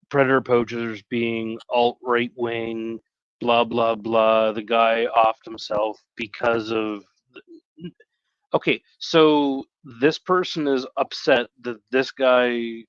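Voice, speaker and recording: A man chatting casually on a live stream in a halting, rambling way, often correcting himself. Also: mid-range voice, smooth, no echo, dull audio.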